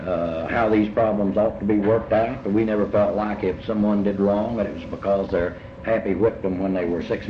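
An older man speaking reflectively in an interview about his life, halting and often repeating words as he rephrases. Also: fairly low pitch. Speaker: interviewee recalling early life. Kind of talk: reflective oral history interview. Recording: muffled, noisy, echoey room recording.

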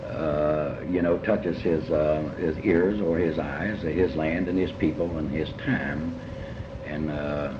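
A middle-aged or older man with an Appalachian American accent talks reflectively as an interviewed writer, halting and deliberate, often repeating phrases. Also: extremely low pitch. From Ohio River and Big Sandy region of Appalachia, USA. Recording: muffled, echoey, noisy old interview tape.

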